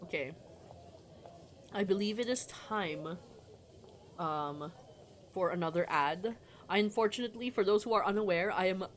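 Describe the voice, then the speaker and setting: A woman streamer chatting casually and reading aloud from a visual novel, talking in a chatty, hesitant way with pauses. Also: lowish voice, fairly bright tone, a little hiss or hum.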